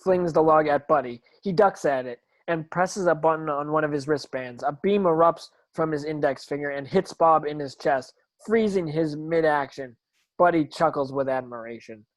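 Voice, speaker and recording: A man's voice: a little high, normal speed, no room sound. A man narrating screenplay stage directions in a plain reading, occasionally stumbling over words.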